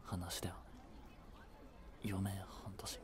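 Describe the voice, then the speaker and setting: A man playing a blunt, devoted young boyfriend in a romantic voice drama, with a breathy voice and heavy emotion. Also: sits low, little pitch movement, slow, crowd noise. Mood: numb, hushed narration. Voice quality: breathy, slightly husky. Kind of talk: romantic Japanese voice drama acting.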